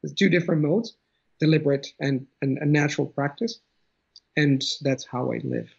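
A man's voice: on the high side, fairly even intonation, moderate pace, creaky, a little mellow, slightly rough. A man in his thirties from Italy with an Italian accent, talking as an interview guest over a video call at home, thoughtful and often restarting his phrases. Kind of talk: casual conversation. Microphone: video call webcam. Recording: dull lo-fi video call audio.

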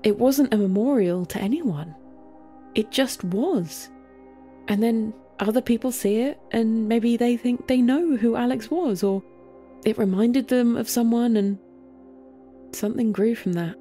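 A woman's voice: a little high, measured pace, warm tone, soft background music, natural voice. A woman voicing a blunt, casual guide to the dead in a story, explaining things matter-of-factly. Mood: offhand explaining. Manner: matter-of-fact, offhand.

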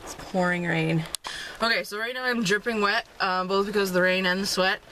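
A woman's voice: mid-range voice, fairly even intonation, fairly quick, bright, forceful tone, slight background noise, poor audio. Chatty young woman vlogging from her car. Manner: chatty and upbeat, lots of "um" and laughs. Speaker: fitness vlogger. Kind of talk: casual vlog talk to camera. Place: inside a parked car. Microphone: camera held at arm's length. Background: rain outside.

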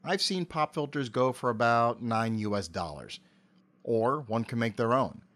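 Middle-aged man, medium-pitched voice, articulate, measured, prepared interview answers. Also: lively intonation, fairly bright tone. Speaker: interview guest, amateur voice actor. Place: home office. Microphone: cardioid dynamic mic with pop filter, on a stand.

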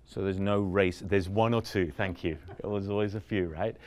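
Male speaker, 40s, low-ish voice, chatty conference talk, quizzes the room. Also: fairly even intonation, moderate pace, a little mellow. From Vancouver, Canada. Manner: conversational, asks the room questions, frequent "right?". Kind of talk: conference talk with audience questions. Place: conference room in front of an audience.

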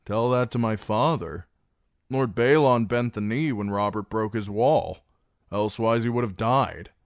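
A man's voice: fairly low pitch, a little slow, no room sound, clean studio sound, pitched above the performer's own voice, close to an everyday voice. A man voicing a broken, terrified young male captive who carries a message and pleads urgently with a hostile crowd.

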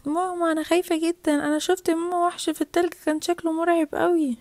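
A very high, quick little girl's voice acted by a woman with an Egyptian accent. She is excitable, insistent and frightened, pleading like a children's story heroine, in a dry, close recording. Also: dry recording. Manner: pleading, exclaiming.

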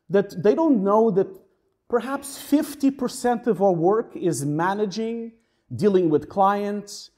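Portuguese guy in his 40s, kinda high voice, joking around while he gives a conference talk. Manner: lively, self-mocking, conversational. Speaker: workshop speaker, VFX supervisor. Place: conference room.

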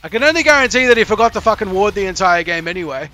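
A man's voice: sits high, animated, rapid-fire, pushed and bright. A man in his thirties coaching on a stream, reviewing a player's replay with sarcastic, profane jokes, talking into a headset at home. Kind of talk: live-streamed replay commentary.

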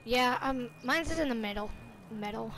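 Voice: medium pitch, normal speed, sharp tone, slight background noise. A young woman chatting casually in an improvised online game roleplay.

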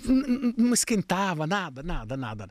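A man in his fifties with an Italian accent tells long stories in an interview. His pitch swings up and down with lots of life, and he acts out the voices he quotes. The sound is close and dry, picked up on a desk mic in a small studio room. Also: moderate pace, no room sound. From Italy. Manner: animated, gestures widely, acts out quoted voices. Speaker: guest. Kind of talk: storytelling interview. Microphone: desk microphone on stand.

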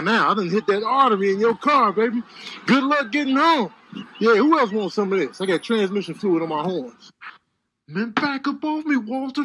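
A cocky, streetwise male animal voiced by a man in a comedy voice-over. He sounds exasperated and indignant, with a husky, forceful voice. His pitch leaps around in an animated way. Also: full character voice.

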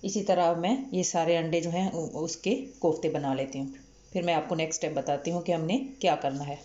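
Calm woman explaining a recipe. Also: deep voice, a little fast, lo-fi sound. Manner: calm step-by-step explaining with fillers. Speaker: cooking channel host. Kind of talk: recipe instruction over cooking footage. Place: home kitchen.

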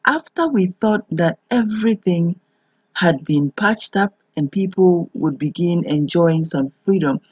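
A woman's voice: fairly low pitch, lively intonation, measured pace, darkish tone, husky, no room sound, muffled recording. A woman host delivering an earnest scripted commentary voice-over.

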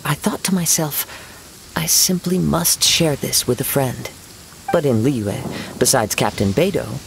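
A man voicing a gentle, serene young wandering poet swordsman in a game, with measured, formal, poetic phrasing. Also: medium pitch, natural voice, low-quality recording. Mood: calm, contemplative. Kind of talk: scripted game dialogue, storytelling. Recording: steady rain noise behind voice.

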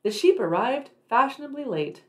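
Woman reading a picture book, very lively intonation. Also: sits high, normal speed. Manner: addresses young children, emphatic dramatic phrasing. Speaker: read-aloud storyteller for children.

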